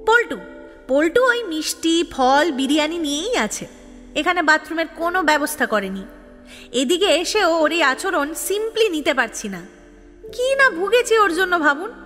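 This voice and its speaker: An actress playing a chatty, exasperated young woman who narrates her love life in an audio drama, animated and playfully complaining. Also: very high-pitched, heavily acted. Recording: long reverb, music behind.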